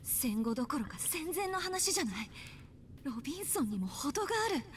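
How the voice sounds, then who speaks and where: Visual novel role: sharp-tongued, exasperated woman, fairly high and brisk. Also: big pitch swings, a little hiss or hum. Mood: appalled. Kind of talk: Japanese visual novel voice acting.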